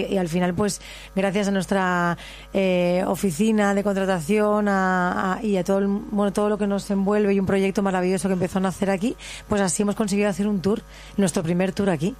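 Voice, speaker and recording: A woman's voice: mid-range voice, flat delivery, a little fast. A woman Barcelona, a flamenco singer answering radio interview questions with an easy, explanatory manner and frequent laughs. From Barcelona, Spain. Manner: warm, laughs easily, explanatory.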